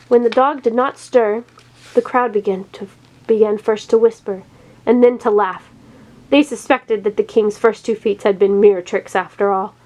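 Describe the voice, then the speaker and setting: A woman's voice: medium pitch, a little mellow, poor audio. A woman storyteller reading a children's tale aloud.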